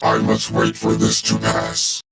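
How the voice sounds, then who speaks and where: A man voicing a cold, loyal robot warrior for a video game, speaking in a clipped, formal, deadpan way through a robotic filter. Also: measured pace, loud music behind the voice. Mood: clinical, detached. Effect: robotic vocoder filter.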